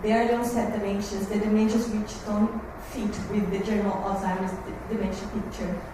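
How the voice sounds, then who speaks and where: A woman's voice: mid-range voice, level tone, brisk. A woman from Brazil with a Brazilian Portuguese accent, giving an earnest talk to a carers' support group as a guest researcher. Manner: earnest, warm to the families, slightly nervous. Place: meeting room, recorded from far off. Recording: echoey room, far mic, noisy.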